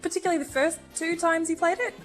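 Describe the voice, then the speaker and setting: A woman's voice: extremely high pitch, steady tone, fast talker, soft background music. A young Australian woman speaking casually as a bride being interviewed. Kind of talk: casual chat.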